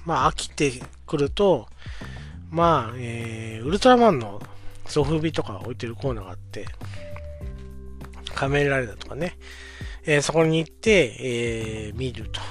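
A man's voice: medium pitch, animated. 40s guy rambling politely through an old story, lots of uh's, faint music underneath. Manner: polite, unhurried, many filler sounds. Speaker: podcast host. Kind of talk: casual storytelling monologue.

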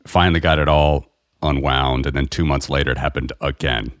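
Very deep-voiced man, rambling host. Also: steady tone, a little fast, dry recording, clean studio sound. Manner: rambling, many fillers. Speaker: podcast host. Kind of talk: casual conversation about money. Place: lives in Eastern Europe.

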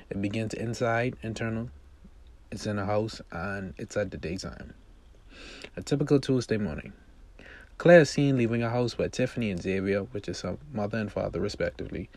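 Lowish-voiced man narrating a script. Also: darkish tone. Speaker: amateur screenwriter reading own script. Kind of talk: read-aloud script narration.

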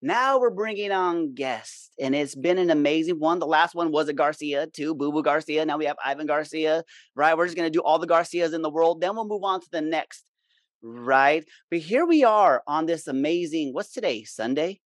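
A man's voice: fairly high pitch, normal speed, dry recording, clean studio sound. A man hosting a casual podcast in an effusive, informal, slangy way. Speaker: podcast host.

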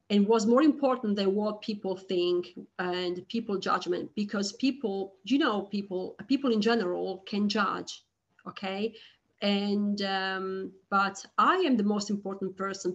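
A woman guest on a podcast, speaking slowly and hesitantly, with frequent restarts and repeated words. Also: mid-range voice, muffled recording. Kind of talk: conversational interview.

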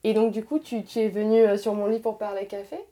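A woman's voice: medium pitch, level tone, a little fast, mellow. A woman hosting a casual interview, friendly and encouraging, with short acknowledgements. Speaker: host.

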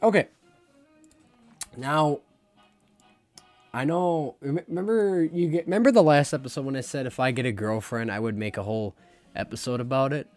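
Young man, podcast host, slightly hoarse, rambling, confessional, faint jazz in background. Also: mid-range voice, normal speed, long pauses, darkish tone, dry recording. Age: young adult. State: slightly hoarse, recovering lost voice. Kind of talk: casual solo podcast talk. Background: faint jazz music.

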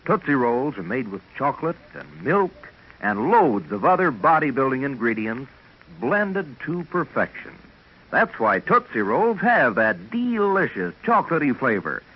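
A man's voice: medium pitch, big pitch swings, a little slow, slightly rough. A man playing an enthusiastic American sponsor announcer, giving an emphatic old-time radio candy advertisement. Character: eager, enthusiastic candy pitchman. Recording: muffled old radio recording.

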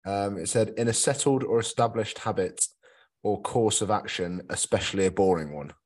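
Bloke w a deep voice, chatting on a podcast, dry and understated. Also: male voice, normal speed, a little mellow. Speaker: podcast co-host. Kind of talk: casual podcast chat.

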